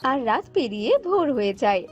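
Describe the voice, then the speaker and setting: A woman's voice: on the high side, sing-song, a little fast, dull audio. Female storyteller for cartoon bird tales, scripted narration, faint music underneath.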